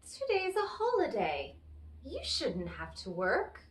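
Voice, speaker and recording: A woman's voice: on the high side, huge pitch swings, slow pace, full character voice. A woman voicing a bold, scheming young man in a storybook folktale, speaking in a self-assured way. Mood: falsely sweet. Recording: roomy, echoey, distant microphone.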